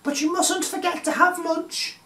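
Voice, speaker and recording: A caring but fussy mum from a children's storybook, as a male reader puts her on. The voice is pitched high and sing-song, gently admonishing. It rings in a roomy echo. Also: steady tone, measured pace, recorded from a distance, exaggerated voice. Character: fussy, caring mummy of a small boy.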